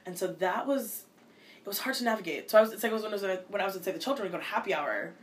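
A woman's voice: mid-range voice, a little hiss or hum. A woman in her twenties from Minnesota, a college student answering interview questions casually, often restarting her sentences. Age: 20s. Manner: fast, with many restarts and filler likes.

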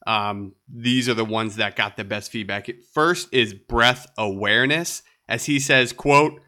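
Congested man with a bright voice. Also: medium pitch, measured pace. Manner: upbeat and chatty, laughs lightly. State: nasal, congested. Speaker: podcast host. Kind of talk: solo podcast monologue.

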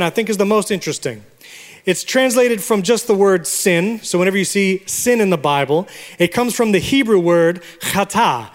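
A man of Puerto Rican origin preaching a sermon as pastor, teaching words and having the congregation repeat them. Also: high-pitched. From Puerto Rico. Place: church sanctuary. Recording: long reverb of a large hall.

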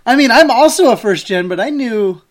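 A woman's voice: fairly high pitch, animated, a little fast, crowd noise. A woman hosting a podcast, interviewing a friend in a playful, teasing way. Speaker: podcast host. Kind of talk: casual interview chat.

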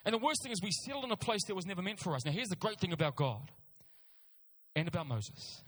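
A man's voice: high-pitched, expressive, quick pace, fairly bright tone. A middle-aged man with a New Zealand accent preaching a sermon, telling personal stories with emphatic repeated phrases and informal asides. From Auckland, New Zealand. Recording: reverberant hall.

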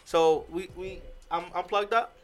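A man's voice: sits high, steady tone, a little slow. A man co-hosting a casual podcast, giving emphatic opinions with plenty of fillers. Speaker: podcast co-host. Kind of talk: casual chat.

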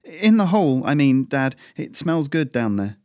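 A man's voice: a little high, very animated, moderate pace, darkish tone, dry recording, clean studio sound, pitched above the performer's own voice. A man voicing a timid, curious teenage boy who mutters and blurts things out nervously.

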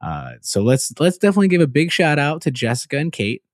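A man hosting a podcast interview in a casual, chatty way, friendly and quick to laugh. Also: on the high side, big pitch swings, no room sound, studio quality. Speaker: podcast host.

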